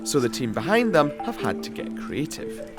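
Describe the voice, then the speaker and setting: A woman's voice: low-pitched, big pitch swings, moderate pace. A woman narrating a scripted technology report. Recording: voice-over under loud music. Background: loud music.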